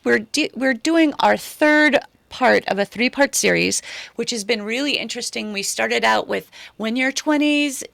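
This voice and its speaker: Creaky-voiced American woman host in her sixties. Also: a little high, normal speed, fairly bright tone, no echo. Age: 60s. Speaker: radio show host. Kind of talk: conversational interview hosting. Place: studio.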